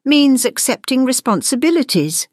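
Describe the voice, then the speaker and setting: A woman's voice: high voice, big pitch swings, normal speed, darkish tone, no echo, clean studio sound, voice pushed up. A woman voicing a composed, wry, knowing witch who teaches school, in a storybook. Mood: composed, instructive.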